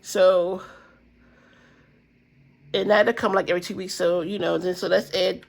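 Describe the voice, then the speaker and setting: A woman's voice: on the low side, flat delivery, a little slow, creaky. A woman with a stuffy nose chatting casually to viewers about her budget, rambling with fillers and calling them guys and y'all. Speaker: budgeting vlogger. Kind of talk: casual chat to camera.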